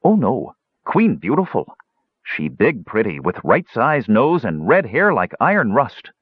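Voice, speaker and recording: Man doing a high simple-minded gully dwarf. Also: wildly swooping pitch, a little slow, husky, no room sound, phone-quality sound, heavily acted. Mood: earnest. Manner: broken childlike grammar. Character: simple-minded male gully dwarf, eager, broken speech.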